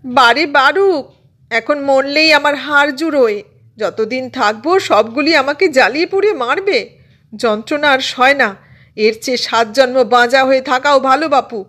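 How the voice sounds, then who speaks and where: Woman acting a shrill, exasperated ailing mother. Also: normal speed, a bit bright. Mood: bitter complaining. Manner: scolding, complaining. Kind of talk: dramatised story reading.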